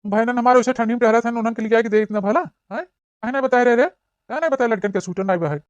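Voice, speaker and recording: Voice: mid-range voice, fast, no room sound, dull audio, close to an everyday voice. A cartoon voice playing an indignant, hot-tempered village husband and elder brother, scolding and questioning in an Awadhi accent from eastern Uttar Pradesh. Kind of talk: cartoon comedy dialogue.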